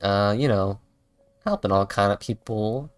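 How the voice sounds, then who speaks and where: A man's voice: lowish voice, measured pace, background noise. A man chatting casually as a game streamer, rambling and self-deprecating. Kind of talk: casual livestream gameplay chat.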